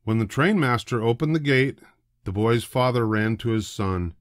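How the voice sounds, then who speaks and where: An older man narrating a children's storybook in a deliberate, expressive way. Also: medium pitch, dry recording, studio quality. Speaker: storybook reader.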